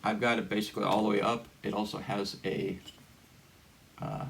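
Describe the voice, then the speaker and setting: Man, low voice, informal step-by-step demo, halting delivery, background noise. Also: little pitch movement, creaky. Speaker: hobbyist demonstrator. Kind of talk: casual hands-on gadget demonstration.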